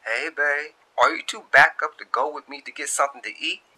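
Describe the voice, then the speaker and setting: A man's voice: on the high side, fairly quick, bright, forceful tone, voice pushed up. A man voicing a sassy, headstrong young woman in love, in the colloquial dialogue of an audio story. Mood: playfully sassy. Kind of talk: acted dialogue in a narrated story.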